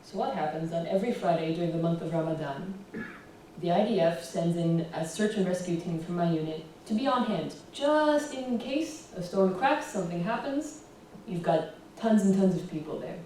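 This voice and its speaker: A young American woman giving a relaxed public talk with slides, often pausing with "um". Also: on the low side. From United States. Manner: relaxed, fills pauses with ums. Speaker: guest speaker. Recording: roomy echo, distant, faint hiss.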